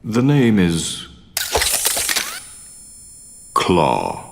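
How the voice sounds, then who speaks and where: An actor playing a smug, businesslike male mercenary villain in a cartoon, with a gravelly, deliberate delivery. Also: low-pitched, wildly swooping pitch, big-room sound, background noise, exaggerated voice. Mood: smug menace.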